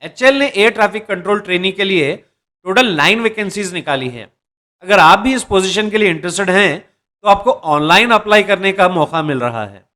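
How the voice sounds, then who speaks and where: A man's voice: sits high, lively intonation, normal speed, pushed and bright. A man presenting a job recruitment update to viewers, addressing them directly and sometimes restarting as he reads out details. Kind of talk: explainer reading job details.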